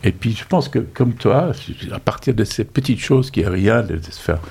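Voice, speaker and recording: Gravelly, husky man near eighty. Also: medium pitch, animated, moderate pace, a little mellow. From raised in the United States. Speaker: climate activist guest. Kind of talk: reflective personal storytelling.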